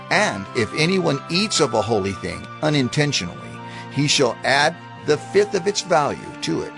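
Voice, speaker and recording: An actor playing a solemn, instructive reciter of divine law in a formal, measured dramatized scripture reading. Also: male voice, mid-range voice, creaky. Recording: music under the voice. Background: music.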